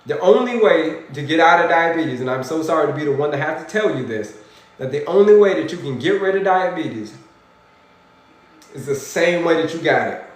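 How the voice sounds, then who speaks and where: Man, 40s, livestream health coach; animated, preachy, repeats himself; slightly high pitch; very reverberant room. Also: normal speed. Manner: animated, repetitive, preachy, talks to viewers as folks. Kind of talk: energetic motivational livestream, reading chat comments. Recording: very echoey room.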